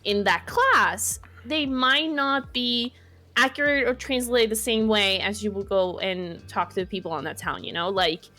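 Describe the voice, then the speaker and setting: A woman in her twenties from Mexico with a Mexican Spanish accent, a podcast guest speaking casually in English, her second language, pausing and restarting to find her words. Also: mid-range voice, bright, forceful tone. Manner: thoughtful, searching for words, many restarts. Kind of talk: casual conversation.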